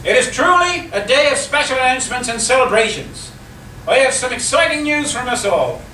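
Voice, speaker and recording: A man playing a sly newspaperman with polite menace in a live comedy radio play, measured and insinuating. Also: very high voice, big pitch swings, sharp tone, heavily acted. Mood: formal. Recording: echoey distant live recording, faint noise.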